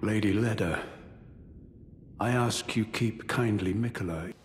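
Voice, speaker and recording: A man's voice: lowish voice, measured pace, natural voice. An actor playing a solemn, loyal male warrior in a video game, speaking grave and formal courtly lines. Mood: grave, pleading. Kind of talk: video game voice acting, formal archaic dialogue. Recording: echoey long reverb, faint noise.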